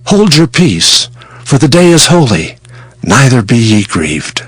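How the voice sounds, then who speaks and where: A man playing a Levite in a dramatized scripture drama, solemnly calming and exhorting the people. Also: mid-range voice, a little slow. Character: male Levite solemnly calming a weeping crowd. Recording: loud music bed over the voice.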